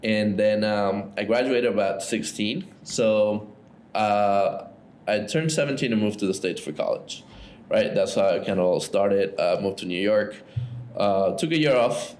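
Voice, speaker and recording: Man, 30s, lowish voice, easygoing and chatty, faint noise behind. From Ecuador. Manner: relaxed, loose, lots of "like" and "right?". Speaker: podcast guest, entrepreneur. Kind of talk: casual storytelling interview.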